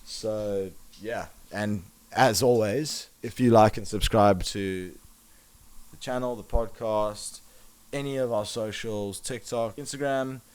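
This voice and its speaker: A man's voice: on the low side, fairly even intonation, takes it slowly, darkish tone, smooth, rough recording. A man with a South African accent hosting a podcast in casual, swearing chat. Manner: chatty, swears casually, enthusiastic. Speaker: podcast host.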